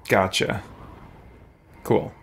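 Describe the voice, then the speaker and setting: A man's voice: extremely slow, a bit bright, slight background noise. A middle-aged man giving casual live gameplay commentary, musing aloud as he makes choices. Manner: musing, thinking aloud while choosing. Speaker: game streamer. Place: indoor room with shelves, streaming setup.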